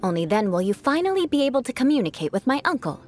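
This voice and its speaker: An actress playing a proud, vengeful young aristocratic knight in a video game, with a formal, theatrical manner. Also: female voice, on the high side, very animated, fast, faint music behind. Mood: composed, amused.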